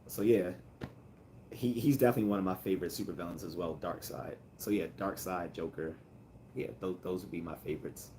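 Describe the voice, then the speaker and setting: A man with a very low, calm voice chats loosely on his livestream and answers viewer comments as they come in. He rambles, restarts and fills gaps with "um" and "uh". A faint noise runs behind him. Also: fairly quick. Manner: rambling, with many fillers and restarts. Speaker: livestream host. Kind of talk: casual livestream chat, reading comments.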